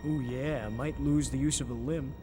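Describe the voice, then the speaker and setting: A man's voice: medium pitch, steady tone, moderate pace, big-room sound, music in the background, lightly acted. A man voicing a cocky, reckless young ghost hunter in a cartoon, with a brash, boastful, slangy manner. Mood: teasing. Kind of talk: animated series dialogue.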